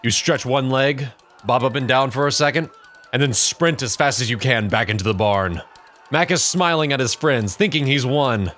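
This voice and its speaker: A man's voice: medium pitch, moderate pace, fairly bright tone, faint music behind. A man narrating a scripted second-person story as the narrator of an audio drama.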